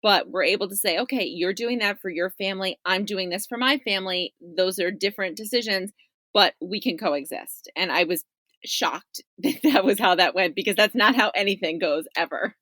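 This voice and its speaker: A woman's voice: medium pitch, normal speed, pushed and bright, dry recording. A woman journalist talking casually as an interview guest on a podcast.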